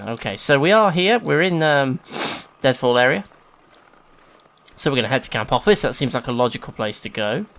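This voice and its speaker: A man's voice: mid-range voice, a bit bright. An English man with a sniffly hay-fever nose gives casual, chatty, self-deprecating let's play commentary as a gaming host. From England.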